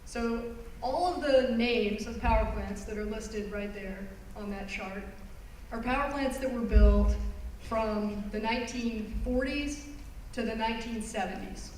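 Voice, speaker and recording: A woman giving a public presentation, explaining her subject earnestly and pausing on phrases for emphasis. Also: a little high, darkish tone, slight background noise. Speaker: environmental lawyer giving a talk. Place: conference hall. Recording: roomy hall recorded from far off.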